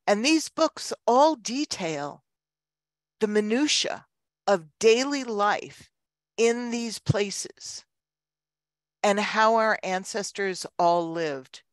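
Older female guest lecturer, smooth and unhurried, thoughtful delivery, close headset sound. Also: mid-range voice, lively intonation, dry recording. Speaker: guest lecturer, genealogy researcher. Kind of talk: informal question-and-answer talk. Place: indoors on a video call. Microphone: headset microphone.

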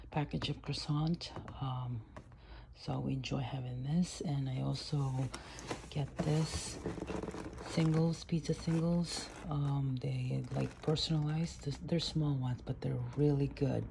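A woman's voice: low-pitched, vocal fry, noisy background. A middle-aged woman vlogging casually from a parked car, chatting with many fillers about what she bought. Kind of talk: casual haul vlog. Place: parked car, then at home. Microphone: phone camera on the dashboard.

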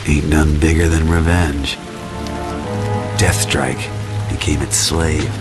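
Deep gravelly game antihero, brooding and sardonic, terse, loud music behind. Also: male voice, level tone, slow pace. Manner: terse, sardonic tough-guy talk. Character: gruff, brooding, battle-worn male mutant antihero. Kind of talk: video game cutscene acting.

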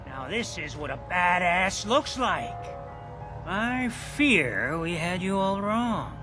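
An actor playing a proud, gruff barkeep in a video game, with a hearty, folksy, emphatic way of talking. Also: male voice, high voice, big pitch swings, slow pace, over music, heavily acted. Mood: hearty welcome. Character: proud, gruff barkeep in a post-apocalyptic harbor town. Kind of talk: video game character acting.

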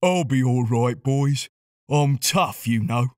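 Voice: medium pitch, takes it slowly, a little mellow, no room sound, clean studio sound, lightly acted. A narrator voicing Elvis, a big, strong male elephant in a children's storybook, with a brave, understated manner.

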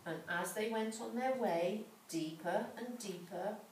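A middle-aged woman reading a story aloud to a child, dramatically, with pauses and questions. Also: medium pitch. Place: large indoor school room with hard floor. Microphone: distant camera microphone. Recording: echoey room, distant mic, background noise.

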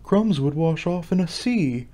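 A doleful turtle creature from a storybook, acted out by a man. He speaks in a ponderous, drawn-out way and takes offence easily. Every phrase is slow and weighty. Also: a little high, expressive, mellow, voice pushed up. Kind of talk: storybook character voice.

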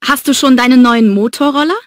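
A woman plays a curious coworker who asks questions in a surprised tone. Her voice sits high. The sound is close and dry, from a studio. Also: fairly quick, dry recording, close to an everyday voice.

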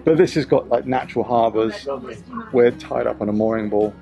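A man in his forties, a sailing vlogger, chatting casually outdoors in a relaxed, rambling way. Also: mid-range voice, normal speed, gravelly, faint music behind. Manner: relaxed, rambling, thinks aloud with restarts. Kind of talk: casual chat. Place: outdoors on a boat and a rocky shore.

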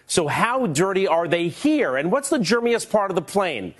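A man in his forties reporting a consumer news story, energetic and emphatic, moving from studio to airport to plane cabin. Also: high voice, moderate pace.

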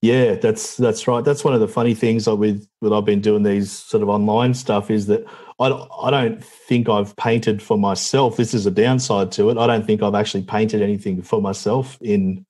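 Laid-back young Australian man, lowish voice. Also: fairly even intonation, normal speed, few pauses, dark tone. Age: young adult. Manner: laid-back, matey, lots of "yeah, right". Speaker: interview host. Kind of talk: casual interview chat.

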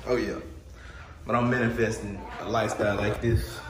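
A man's voice: fairly even intonation, moderate pace. A young man, chatting casually and slangily in an upbeat, emphatic way as he vlogs. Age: young adult. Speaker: vlogger. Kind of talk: casual vlog chat. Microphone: phone or action camera at arm's length. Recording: noisy handheld camera audio with ambient rumble.

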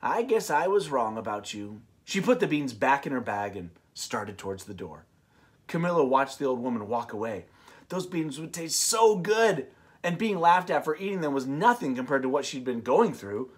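A man in his thirties co-hosting a read-aloud, moving between expressive story reading and casual chat. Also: mid-range voice, normal speed. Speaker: co-host of a read-aloud show. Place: small room indoors, on a couch.

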